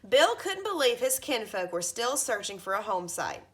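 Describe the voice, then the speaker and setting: A woman in her thirties reading a children's storybook aloud like a teacher, with expressive emphasis at the starts of phrases. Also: on the high side, moderate pace, a little hiss or hum. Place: carpeted living room at home, indoors.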